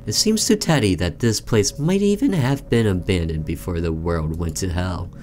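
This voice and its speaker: A man's voice: sits low, animated, normal speed, a little mellow. A man hosting a gaming video, reading story text aloud like a storyteller and adding casual reactions.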